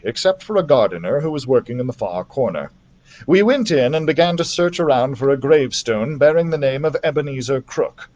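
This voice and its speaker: A man reading a children's adventure novel aloud as a story-time host, with an animated, theatrical delivery. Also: a little high.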